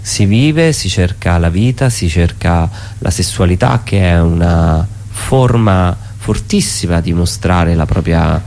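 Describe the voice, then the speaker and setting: Male interview guest with a very deep voice, thinking aloud in a halting, conversational way. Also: lively intonation, darkish tone. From Italy. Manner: halting, repeats words while thinking. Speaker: theatre artist, interview guest. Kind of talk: reflective conversational radio interview.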